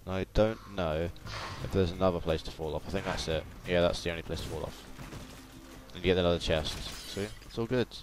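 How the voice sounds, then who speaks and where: A man's voice: sits low, a little fast. A young man giving casual, rambling, playful let's-play commentary, often repeating his words. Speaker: gaming video host. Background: video game music and sounds.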